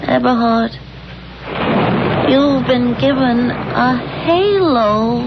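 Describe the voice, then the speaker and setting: An actress playing a patient, drowsy American wife in 1970s radio drama style. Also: female voice, a little high, very slow. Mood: sleepy wonder. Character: patient, drowsy wife, gently long-suffering. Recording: dull vintage radio recording, heavy background noise.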